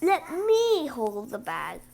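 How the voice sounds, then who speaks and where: A woman's voice: sits high, wildly swooping pitch, takes it slowly, creaky, a little mellow, exaggerated voice. A woman voicing a sly, boastful storybook bad boy with a cocky, coaxing manner.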